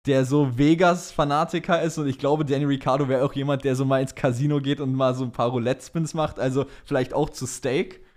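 Man, casual podcast chat, mid-pitched voice, slangy phrasing, English loanwords. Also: steady tone, fairly quick, few pauses, darkish tone. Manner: informal, slangy German mixed with English words. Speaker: podcast co-host reading listener questions. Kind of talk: casual chat about motorsport.

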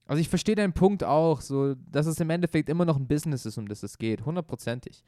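A man's voice: a little high, fast, warm tone, no room sound. Young man, football podcast co-host, opinionated, rambling, dry close sound. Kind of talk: casual football podcast chat.

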